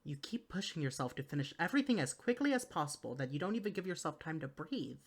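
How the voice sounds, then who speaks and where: A woman's voice: low-pitched, big pitch swings, fast talker, breathy edge, heavily acted. A woman playing a worried, exasperated partner in an intimate audio roleplay, speaking to the listener with earnest, caring reproach. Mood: concerned, reproachful. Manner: earnest, pleading, caring scolding.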